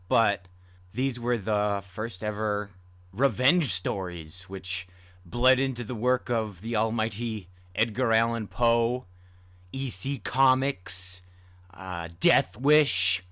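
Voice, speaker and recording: A man hosting and narrating old tales in a dramatic storybook style, with emphatic, deliberate phrasing. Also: fairly low pitch, lively intonation, a bit bright, clean tone. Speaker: podcast host and story reader. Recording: music under the voice. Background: music.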